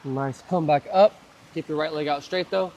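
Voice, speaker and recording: A man leading a guided mobility flow, calmly cueing each move and breath, his voice slightly hoarse as he recovers from a cough. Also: fairly high pitch, moderate pace, dull audio. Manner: calm, gentle cueing of moves and breaths. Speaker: mobility and stretching instructor.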